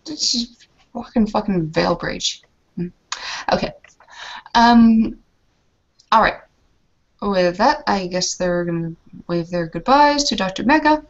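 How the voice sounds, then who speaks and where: Soft, hesitant woman roleplaying. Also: mid-range voice, lively intonation, takes it slowly, pauses often, dull audio. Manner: soft, hesitant, many ums. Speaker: tabletop roleplay player. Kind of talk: casual roleplay talk.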